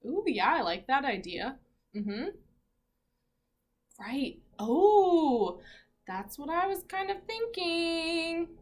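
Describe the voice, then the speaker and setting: Female primary teacher, read-aloud style. High, very lively delivery, encouraging, with questions to young listeners. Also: slow, pauses often. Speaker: teacher reading aloud to children.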